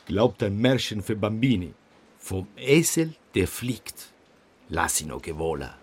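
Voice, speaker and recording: An actor in a radio play as an amused, incredulous Italian investigator, speaking broken German with Italian exclamations and an Italian accent. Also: male voice, fairly low pitch, expressive, measured pace, slight background noise.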